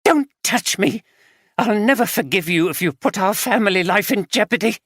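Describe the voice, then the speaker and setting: Reader doing a furious tipsy wife, high strained pitch, contemptuous, slightly slurred, dry close sound. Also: female voice, animated, moderate pace, fairly bright tone, no echo. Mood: bitter, furious. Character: angry tipsy middle-aged wife. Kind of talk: audiobook character reading.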